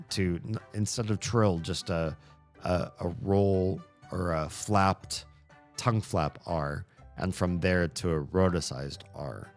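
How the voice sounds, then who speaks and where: A man chatting casually on a live stream, reading chat and rambling as he searches for words. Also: deep voice.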